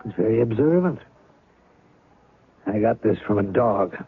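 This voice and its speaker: A man's voice: fairly low pitch, lively intonation, a little slow, gravelly, a little hiss or hum. An actor playing a bitter, sarcastic middle-aged ex-husband and spy in a 1970s radio drama, curt and biting in his delivery. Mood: sardonic. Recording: tinny band-limited 1970s radio, faint music.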